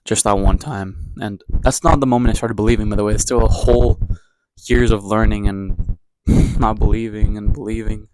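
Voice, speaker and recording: A young man from Ecuador with a somewhat low voice talks straight to the camera in a casual daily vlog. He rambles, circles back and pokes fun at himself, keeping the tone candid and unpolished. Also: fairly even intonation, normal speed, a little mellow, a little hiss or hum, low-quality recording. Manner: rambling, self-deprecating, candid. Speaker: vlogger. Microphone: camera mic, talking to camera.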